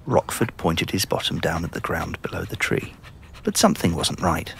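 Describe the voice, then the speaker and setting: A man narrating a scripted children's story in an expressive storytelling manner. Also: low-pitched, moderate pace, vocal fry, a little hiss or hum.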